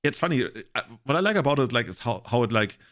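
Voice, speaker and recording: A man's voice: medium pitch, expressive, quick pace, fairly bright tone. A man co-hosting a casual podcast chat, rambling and joking, with many filler words. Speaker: podcast co-host.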